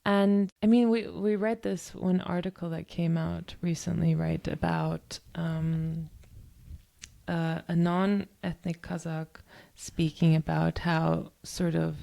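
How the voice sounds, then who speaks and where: A woman's voice: on the low side, level tone, slow pace, gravelly, dark tone. A woman co-hosting a discussion podcast, talking in long, thoughtful stretches full of "um" and "you know". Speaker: podcast co-host.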